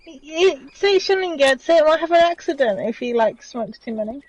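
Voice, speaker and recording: A woman in her forties, hoarse and losing her voice, chatting playfully and teasing while gaming on a stream. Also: high-pitched, animated, normal speed, darkish tone, lo-fi sound. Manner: playful, teasing, coos at animals. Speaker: streaming co-player. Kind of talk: casual chat while gaming.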